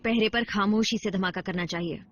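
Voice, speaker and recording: A woman's voice: mid-range voice, moderate pace, dull audio, slight background noise, lightly acted. A woman dubbing a tough female robot soldier in a cartoon, curt, irritable and sarcastic. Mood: scolding. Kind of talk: Hindi cartoon dub acting. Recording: music behind the voice.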